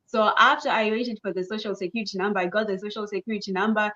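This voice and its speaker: A woman's voice: mid-range voice, fairly even intonation, quick pace, bright, forceful tone. A young woman from Zimbabwe chats as an interview guest in an easy, upbeat way. She repeats words for emphasis, and her voice sounds slightly muffled. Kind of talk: casual interview answers.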